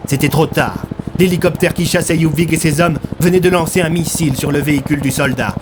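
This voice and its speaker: A man's voice: mid-range voice, heavy background noise. A man narrating a scripted dramatic story in a grave, theatrical way. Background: music.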